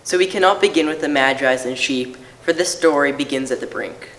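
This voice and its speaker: A man's voice: a little high, fairly quick, fairly bright tone, a little hiss or hum. A man giving a scripted poetic reading at a church service, heard with long reverb. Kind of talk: scripted poetic reading, shared among readers.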